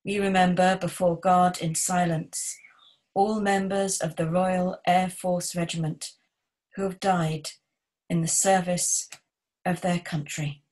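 A middle-aged woman vicar reading formal liturgy in a solemn, measured way. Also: lowish voice, flat delivery, darkish tone, poor audio. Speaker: vicar leading worship.

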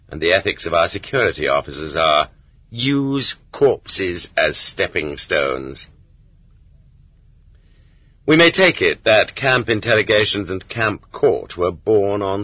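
Deep-voiced man reading an audiobook. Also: breathy edge, dry recording. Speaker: audiobook narrator. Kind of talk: scripted audiobook narration of literary nonfiction. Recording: dull, lo-fi cassette audiobook transfer.

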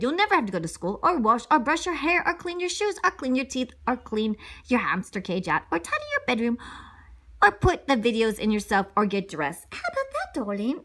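A woman's voice: medium pitch, fairly bright tone. A woman voicing a doting, theatrical storybook mother who cajoles and gushes. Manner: cajoling, gushing, dramatic. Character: doting, theatrical mother coaxing with extravagant bribes.

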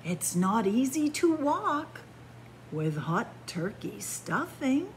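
A middle-aged woman reading a picture book aloud to children in an animated, deliberate storytelling manner. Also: on the high side, slight background noise. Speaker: library story-time reader. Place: indoors in a library among bookshelves.

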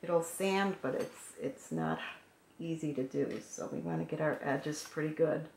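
A woman with a hoarse, froggy voice calmly talking through a craft painting tutorial at her work table. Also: deep voice, a little slow. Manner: calm, gentle instructional talk while working. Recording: echoey room with faint noise.

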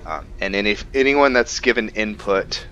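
A man's voice: lowish voice, normal speed, bright, forceful tone. A man giving casual roleplay commentary on a video game, rambling and thinking aloud as he plays. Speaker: gaming video host. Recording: dull lo-fi mic under music. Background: game music.

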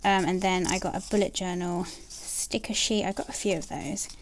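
A young woman chats gently through a sticker haul, full of ums and likes. Her voice sits low with a gravelly fry, and she sometimes drops almost to a whisper while showing sheets. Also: fairly even intonation, normal speed, a little hiss or hum, poor audio. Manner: soft, gushing, with many ums and likes. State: has a bad cold, nasal and throaty. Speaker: planner sticker haul vlogger. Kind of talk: casual haul chat. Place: indoors at a table, filming her hands.

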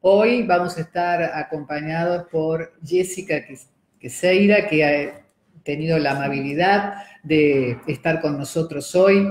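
A middle-aged woman with an Argentine accent hosting an interview and asking hesitant questions with fillers, seated at a table in a room. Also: sits low. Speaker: host. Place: room with wooden table and screen. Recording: roomy echo.

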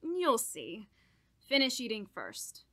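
A woman's voice: on the high side, huge pitch swings, slow pace, a bit bright, exaggerated voice. An actress playing a scheming, guilt-ridden murderous woman who confesses and argues in a radio horror drama. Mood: tense, curt.

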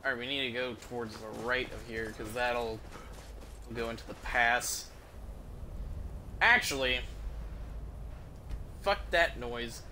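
A man's voice: medium pitch, slow, long pauses, bright, forceful tone, a little hiss or hum. A man giving casual, profane and easily amused commentary while streaming a game from a room at home.

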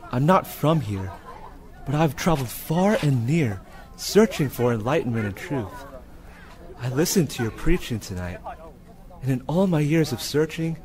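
An actor playing an earnest Chinese seeker of truth in an audio drama, solemn and heartfelt. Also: male voice, mid-range voice, expressive, unhurried, pauses often, a little mellow, some chatter behind, close to an everyday voice. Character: earnest Chinese man, seeker turned joyful new believer. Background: music.

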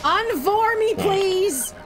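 A woman streaming a video game, with excitable, theatrical, ranting live commentary. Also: extremely high pitch, drawn-out words. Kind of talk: live gameplay commentary and chat. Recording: loud game music behind. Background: video game music and effects.

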